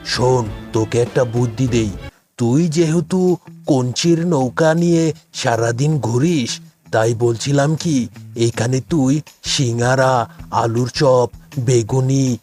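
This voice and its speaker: A man plays a commanding male animal in a cartoon. He speaks at a middling pitch with emphatic, drawn-out calls, over faint background music. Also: darkish tone, natural voice. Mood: advising. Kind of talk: cartoon voice acting.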